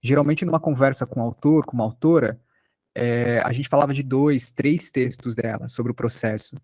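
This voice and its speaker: A man's voice: medium pitch, moderate pace, darkish tone, no echo. A man with a Brazilian accent giving an informal online lecture as a workshop instructor, talking conversationally and with some self-deprecation about his own experience.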